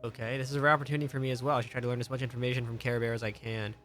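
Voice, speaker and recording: Male streamer, mid-pitched, casual and questioning, thinking out loud over faint game music. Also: level tone, rapid-fire. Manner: musing aloud, questioning. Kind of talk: casual live gameplay commentary.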